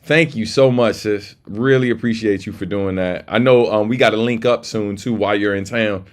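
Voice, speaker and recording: A man with a deep voice hosting a casual livestream chat, laid-back and slangy, full of shout-outs. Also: moderate pace. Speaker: livestream host.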